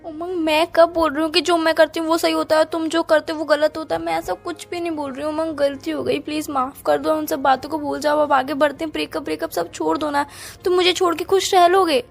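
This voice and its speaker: A woman's voice: high-pitched, little pitch movement, a little fast, gravelly, hardly any pauses, faint music behind. A woman with an Indian accent playing a hurt, apologetic young student girlfriend, pleading in a phone-call romance drama. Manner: pleading, plaintive.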